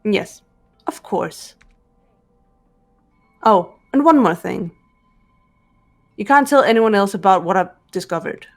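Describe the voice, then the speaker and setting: A woman voicing a cool, determined teenage detective girl in a visual novel game, measured and reserved. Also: medium pitch, expressive, soft background music. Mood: quiet resolve.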